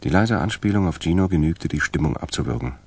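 A man narrating a radio crime drama as a detached, dry storyteller with a gravelly, husky voice. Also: sits low, expressive, fast talker, a little mellow, no echo, full character voice. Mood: detached, calm.

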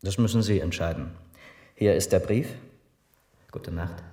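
An actor playing a formal, measured police interrogator in a radio drama. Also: male voice, sits low, mellow, slight background noise. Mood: restrained, matter-of-fact. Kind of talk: naturalistic radio drama dialogue. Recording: echoey room.

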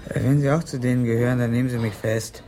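A man's voice: medium pitch, steady tone, quick pace, mellow, a little hiss or hum, natural voice. An actor playing an edgy, wary drug addict at the end of his tether in a radio crime drama, talking colloquially. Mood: cornered, agitated.

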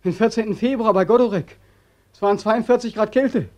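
An actor playing a haunted, sleepless corporal back from war, intense and insistent in a German radio play. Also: male voice, sits high, a little fast, close to an everyday voice. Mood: urgent pleading. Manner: intense, insistent, declamatory, theatrical.

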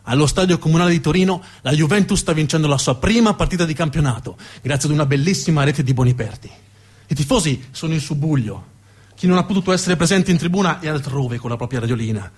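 A man delivers scripted narrative theatre as a storyteller. He moves through the scene in short, deliberate phrases, keeping a measured manner. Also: fairly high pitch, darkish tone, breathy edge. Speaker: storyteller, stage actor.

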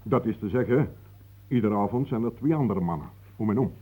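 Obliging Flemish bugler: husky, dark, lowish voice, modest manner. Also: male voice, lively intonation, fairly quick. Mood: modest, polite.

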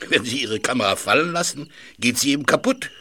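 Bright-voiced, gruff scolding man. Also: mid-range voice, lively intonation, a little fast, a little hiss or hum. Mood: scornful.

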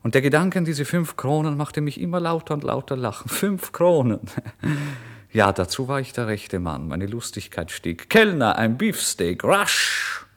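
A man playing a starving, proud young writer in a literary radio drama monologue, theatrical and volatile, swinging between hushed and exclaiming delivery. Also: mid-range voice, very animated, normal speed. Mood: giddy, manic. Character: starving, feverish, proud young male writer, giddy and erratic.

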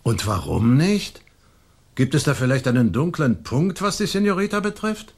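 Proud, husky old nobleman father. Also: on the high side, sing-song, moderate pace, darkish tone. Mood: probing, stern. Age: older. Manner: imperious, scolding, emphatic. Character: proud, domineering old Spanish colonial nobleman, stern father. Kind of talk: German radio play acting.

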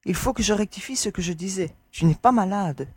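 A woman's voice: medium pitch, a little fast, darkish tone, husky, dry recording, close to an everyday voice. An actress playing a proud, sceptical young woman in a science fiction audio drama, with a dry, blunt manner. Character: proud, sceptical, sharp-tongued young woman space traveller.

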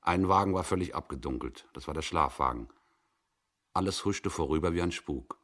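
A man giving a measured, suspenseful dramatic reading of a story in a 1960s radio production. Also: very deep voice, fairly bright tone.

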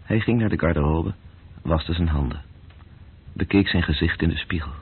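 Radio drama narrator, deep, husky, sober, measured, terse, thin old band-limited recording. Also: male voice, phone-quality sound, full character voice. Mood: detached. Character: sober male storyteller, detached observer of city evening. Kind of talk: scripted radio play narration.

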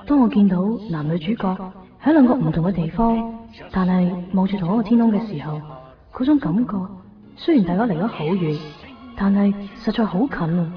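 A woman's voice: mid-range voice, animated, brisk, lightly acted. An actress playing a yearning, self-doubting young woman with a Hong Kong Cantonese accent in a radio drama. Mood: tender. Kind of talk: radio drama inner monologue. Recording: dull 1990s radio recording. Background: faint music.

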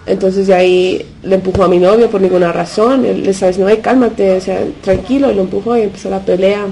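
A woman from the United States, a native Spanish speaker, talking unscripted as a radio interview guest. She speaks haltingly, searching for words and slipping in English fillers. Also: mid-range voice, steady tone, vocal fry, warm tone, slight background noise, poor audio. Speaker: interview guest from a punk collective.

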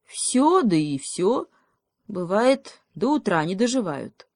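A woman's voice: mid-range voice, very animated, slow, a little mellow, dry recording, clean studio sound, pitched above the performer's own voice, heavily acted. A woman voicing a sly male hedgehog in a children's story, speaking with mock gravity and teasing deliberation. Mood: ominous teasing.

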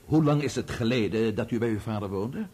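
A man's voice: lowish voice, darkish tone, slightly rough. A man acting in a radio play as a sceptical defence counsel, formal and insistent, leaning on his words to needle the witness. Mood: formal, probing. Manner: formal, needling, deliberate emphasis.

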